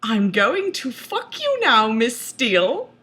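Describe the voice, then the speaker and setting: A woman voicing a commanding young male lover from a romance novel in a breathy, hushed murmur, as a mock-dramatic reading. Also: on the high side, wildly swooping pitch, slow pace, full character voice. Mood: low, commanding.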